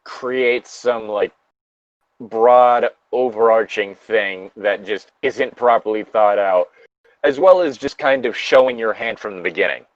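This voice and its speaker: Low-voiced man rambling, dull dry recording. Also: measured pace, dry recording. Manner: rambling, swears casually. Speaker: podcast co-host. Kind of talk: casual chat, opinionated horror commentary.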